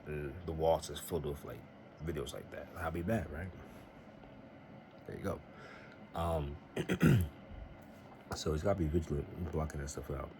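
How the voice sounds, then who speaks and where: A young man vlogging in a casual, earnest and somewhat rambling way, giving faith-based advice. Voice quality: very deep voice. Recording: noisy home recording.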